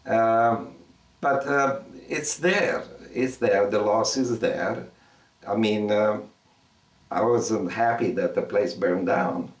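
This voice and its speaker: Older male artist from Egypt, unhurried and halting, doubling back on his words; recorded in a roomy space with the mic at a distance. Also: on the low side. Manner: halting, repeats words, thinking aloud. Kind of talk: reflective documentary interview.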